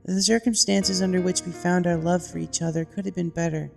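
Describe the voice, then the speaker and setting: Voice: medium pitch, fairly even intonation, brisk, gravelly, big-room sound, soft background music. A narrator voicing an earnest young female pegasus reading out heartfelt storybook letters. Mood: earnest, reconciling.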